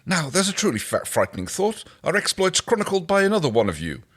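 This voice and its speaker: A man's voice: high-pitched, huge pitch swings, fast talker, fairly bright tone, pitched above the performer's own voice, exaggerated voice. A man voicing a small, pudgy, haughty alien with a disdainful manner in a story reading. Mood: appalled. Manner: disgusted, disdainful.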